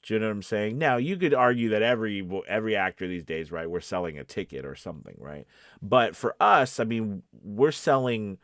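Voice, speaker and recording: A man's voice: fairly low pitch, lively intonation, brisk, fairly bright tone, dry recording, clean studio sound. A man giving informal coaching as a video host, explaining things conversationally and often checking in with the listener. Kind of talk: informal instructional talk to camera.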